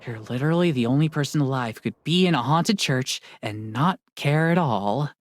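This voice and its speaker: A woman's voice: extremely low pitch, expressive, normal speed. A woman voicing a brash, flippant young female vlogger in a visual novel game. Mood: flippant teasing.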